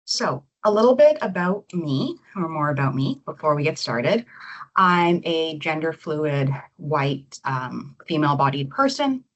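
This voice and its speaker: Woman, low voice, executive presenting a webinar, slightly muffled audio. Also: sing-song, measured pace. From Canada. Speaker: executive director presenting a session.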